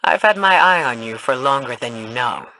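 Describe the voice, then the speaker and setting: A woman voicing a composed, principled commander in a video game, speaking deliberately and formally. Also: a bass voice, animated, bright, forceful tone, dry recording, full character voice, low-quality recording. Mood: firm.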